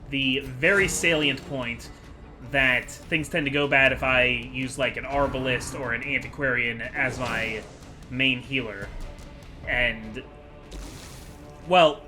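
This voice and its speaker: A man's voice: mid-range voice, bright, forceful tone. A man giving casual, rambling commentary as the host of a gaming video, chatty and digressive as he thinks aloud.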